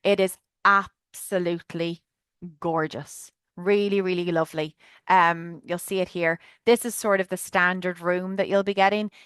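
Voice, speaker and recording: A woman's voice: on the low side, steady tone, a little slow, a bit bright, clear, resonant tone, no room sound, studio quality. An Irish woman chattily presenting a trip on a video call webinar, enthusiastic with lots of fillers. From Ireland. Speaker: tour guide presenting a trip.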